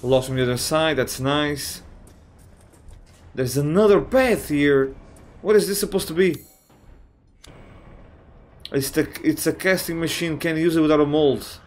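A man, slightly raspy from just waking up, giving casual let's-play commentary on a horror game, swearing often and thinking out loud. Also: fairly high pitch, unhurried, pauses often. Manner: swears often, thinks aloud, reacts with surprise. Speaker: gamer playing a horror game.

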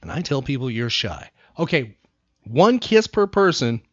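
A man's voice: medium pitch, sing-song, unhurried, fairly bright tone, dry recording. An American man hosting a casual talk show, emphatic and repeating phrases for effect. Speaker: podcast host. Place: studio. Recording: dry, slightly dull lo-fi studio sound.

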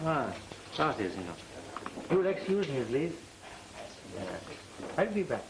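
An actor playing a sly, jovial Mexican messenger in an old western film, with a Mexican accent and effusive, courteous manners. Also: male voice, fairly high pitch, sing-song, slow. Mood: jovial, polite. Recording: hissy old film soundtrack.

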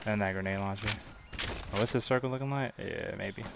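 A young man streaming a game from a room, giving excited, slangy commentary and thanking viewers for donations while his voice goes hoarse. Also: fairly low pitch, brisk, noisy background. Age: young adult. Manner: hype-driven, slangy, thanking donors between plays. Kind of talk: live gameplay commentary with chat shout-outs. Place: indoor gaming room.

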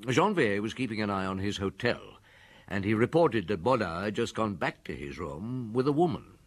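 An actor playing a seasoned detective in a radio drama, recounting a case to a friend in a frank, conversational way. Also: male voice, on the low side, normal speed. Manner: relaxed, reflective, frank.

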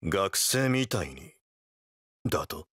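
A man voicing a cool, tough young delinquent in an anime game, terse, blunt and deadpan. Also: sits low, slow pace, no room sound, studio quality, exaggerated voice. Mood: cool, curt.

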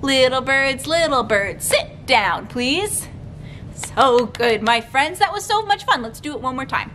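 A young woman with a fairly high voice reads to little children as a storytime librarian. She takes her words very slowly, phrasing them deliberately and articulating each one. A light low rumble sits behind her in the library room. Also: animated, fairly bright tone. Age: young adult. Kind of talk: read-aloud picture book storytime for young children. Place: library room with bookshelves, indoors.

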